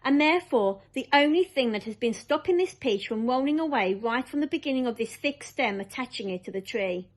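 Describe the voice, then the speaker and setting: A woman's voice: on the high side, lively intonation, fairly quick, few pauses. A woman voicing a kind, motherly ladybird in a storybook reading, explaining things in an earnest, reassuring way.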